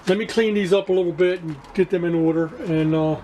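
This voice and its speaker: A man giving a casual hands-on demonstration to viewers as a hobbyist, haltingly searching for words and repeating himself. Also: high-pitched, steady tone, warm tone, slight background noise. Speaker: radio restoration hobbyist presenting to viewers.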